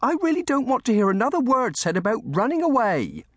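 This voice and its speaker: Devoted young maid, read by a man, storybook style: high, swooping pitch, motherly fussing, humble and anxious. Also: wildly swooping pitch, brisk, a bit bright, no echo, clean studio sound, heavily acted. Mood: alarmed scolding. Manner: anxious, motherly scolding and soothing. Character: devoted, fussing young maidservant woman of humble cottage family.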